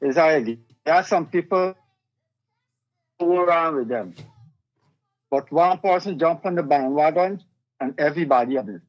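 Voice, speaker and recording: A man's voice: on the high side, normal speed, pauses often. A man holds his ground in a loose political debate, answering firmly. His sound is dull and lo-fi, with faint music underneath. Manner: firm, argues back.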